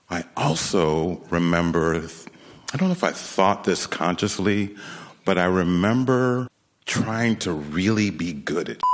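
An American man in his late fifties telling a personal story to an audience in a reflective, conversational way, with pauses for emphasis. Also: low voice. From Rochester, New York, United States. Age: late 50s, nearly sixty.

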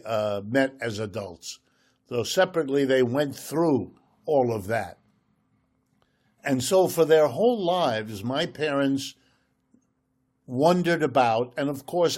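Slow, measured older male economist. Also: mid-range voice, darkish tone. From United States, Ohio. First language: German. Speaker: guest economist. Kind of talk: radio interview.

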